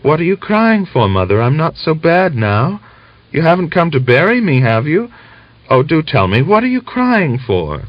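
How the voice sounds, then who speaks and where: A man voicing a gentle ailing young mother. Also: medium pitch, big pitch swings, measured pace, no echo, voice pushed up. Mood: tender. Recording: dull lo-fi audiobook recording.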